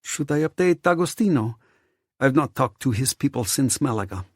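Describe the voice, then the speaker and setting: A man's voice: medium pitch, expressive, normal speed, breathy edge, dry recording, studio quality, voice pushed up. A man voicing a bored, blunt Russian woman with a Russian accent.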